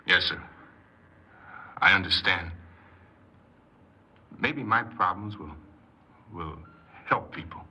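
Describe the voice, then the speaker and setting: An actor playing a troubled, defensive criminal confessing in a 1950s radio crime drama, speaking earnestly and haltingly as a proud hypnoanalyst. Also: male voice, sits low, animated. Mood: solemn, resigned. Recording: very noisy, muffled 1950s radio recording.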